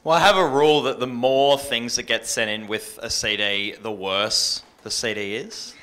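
Male panellist, thirties, casual advice, bright smooth tone, moderately low. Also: steady tone, normal speed. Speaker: radio panellist. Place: indoor music venue, panel stage. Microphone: handheld microphone.

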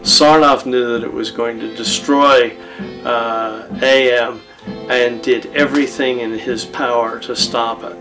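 An older man telling history in an unscripted interview, halting with long pauses. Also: mid-range voice, steady tone, sharp tone, distant mic, soft background music, rough recording. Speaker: interviewed expert. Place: indoors, book-lined room.

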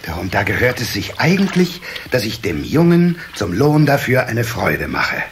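A kindly water sprite father in a children's radio play. His mid-pitched voice moves expressively as he muses with fatherly pride, with faint hiss behind it. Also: wildly swooping pitch, normal speed. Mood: musing, pleased. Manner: fatherly, musing, hesitant repetitions. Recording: faint hiss of old cassette.

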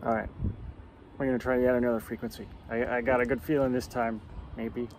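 A middle-aged American man making amateur radio calls and talking casually to camera outdoors, spelling out call signs deliberately. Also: mid-range voice, flat delivery, a little mellow, rough recording. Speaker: amateur radio beginner, vlogger. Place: outdoors in a yard and porch. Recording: outdoor background noise.

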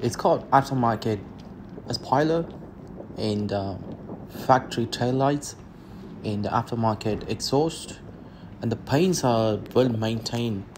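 A man's voice: medium pitch, a little slow, pauses often, noisy background. A young man with an Indian accent talking up a car outdoors, eager and stumbling over repeated words. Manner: enthusiastic, repeats words as he talks. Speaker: car vlogger. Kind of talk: casual car review. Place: outdoors on a quiet road.

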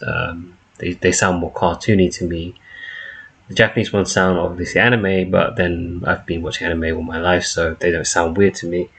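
A man's voice: deep voice, a bit bright, breathy edge. A man giving casual live reaction commentary as a host, recorded on a laptop's built-in microphone. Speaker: host reacting to a game trailer. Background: faint trailer music.

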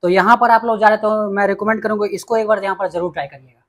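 A man's voice: sits high, steady tone, fast, a bit bright. A young man from Bihar hosting a casual food vlog, talking straight to viewers as he tastes dishes and recommends them. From Bihar, India. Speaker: food vlogger. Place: small indoor restaurant dining room.

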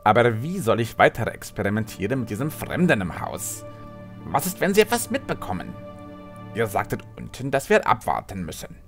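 Man as a court alchemist, scheming, insistent, fairly deep voice, fantasy radio play, music underneath. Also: huge pitch swings, normal speed. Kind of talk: fan-made fantasy audio drama acting.

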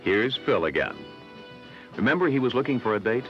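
An American man narrating a scripted 1960s educational film in a measured, instructive manner. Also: medium pitch, big pitch swings, soft background music. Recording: dull, lo-fi old film soundtrack.

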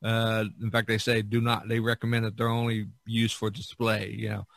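A man's voice: on the low side, monotone, normal speed, gravelly, fairly bright tone, no echo. A man chatting casually as a podcast host, reminiscing in a rambling way that repeats and circles back.

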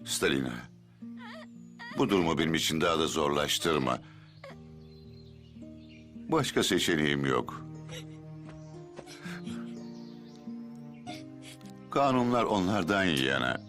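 A man's voice: extremely low pitch, big pitch swings, moderate pace, long pauses, over music. A man playing a protective, burdened, fatherly circus performer in a dubbed cartoon, earnest and plain-spoken. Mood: resigned.

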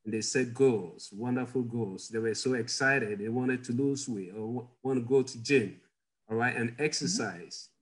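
A man's voice: medium pitch, moderate pace. A middle-aged man from Ghana, a guest doctor telling personal stories in an interview over a video call from a home office. He chuckles often and puts questions to his audience.

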